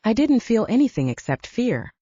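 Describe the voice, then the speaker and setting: A woman's voice: on the high side, sing-song, normal speed, dark tone, husky, no echo, muffled recording. A woman reading first-person fiction as a scripted audiobook narration.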